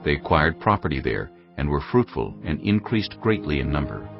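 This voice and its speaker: Deep creaky guy narrating the bible, lively intonation, soft music behind, bit muffled. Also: moderate pace. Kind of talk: dramatized scripture narration. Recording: dull lo-fi audio. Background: faint music.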